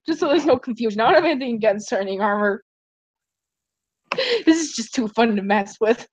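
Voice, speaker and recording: A woman's voice: a little high, sing-song, measured pace, long pauses, dry recording, poor audio. A woman streamer chatting casually, giggly and rambling with lots of fillers.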